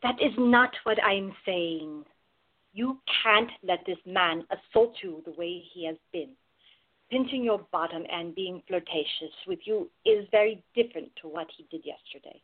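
A woman's voice: mid-range voice, lively intonation, moderate pace, phone-quality sound, lightly acted. Tender, protective woman, played by an actress. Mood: protective, firm. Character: tender, protective woman in love, quietly insecure. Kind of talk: radio play acting.

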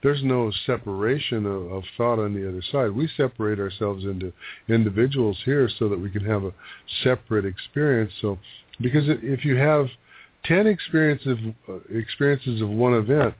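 A man's voice: lowish voice, moderate pace, no room sound. A man working as a psychic medium on a call-in radio show, talking loosely with restarts and little jokes. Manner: rambling, restarts, jokey. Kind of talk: casual radio talk and readings. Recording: dry, phone-like radio audio.

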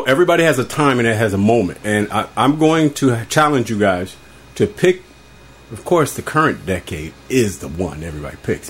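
A man co-hosting a morning talk show, excitable and often stumbling over his words. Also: fairly low pitch, slightly rough. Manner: excitable, stumbling, self-interrupting. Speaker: co-host. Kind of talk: casual morning show segment.

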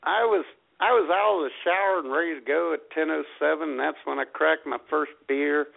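A man co-hosting an internet radio show in casual, playful comedic banter, with a gravelly voice. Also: a little high, a little fast. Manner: playful, joking. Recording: dull lo-fi internet radio sound.

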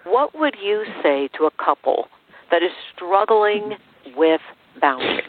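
A woman hosting an interview show in a conversational style, with emphatic, deliberate phrasing, over a narrow radio-like connection. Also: mid-range voice, animated. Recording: narrow radio-like sound, faint noise.

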